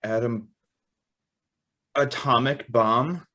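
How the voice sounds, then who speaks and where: An actor playing an earnest, wistful young man in a radio drama, measured and reflective with halting phrases. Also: mid-range voice, sharp tone, heavily acted. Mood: tense, watchful. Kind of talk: radio drama acting and narration.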